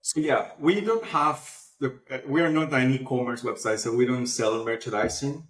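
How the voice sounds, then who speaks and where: Male interview guest, mid-pitched, informal explainer in a roomy, echoing space. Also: moderate pace. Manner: informal, explanatory, often adds "you know". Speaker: guest, publishing platform founder. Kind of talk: conversational interview answers.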